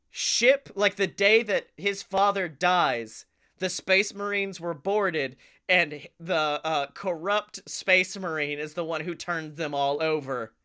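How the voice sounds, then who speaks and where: High-voiced, bright male comedy podcaster. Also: smooth. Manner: sweary, jokey. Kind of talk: casual chat, animated story pitch.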